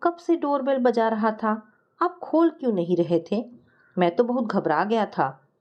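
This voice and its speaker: A woman's voice: on the high side, lively intonation, measured pace, darkish tone, dull audio. A woman storyteller plays a caring young son in a family tale. He is anxious and full of worried questions for his mother.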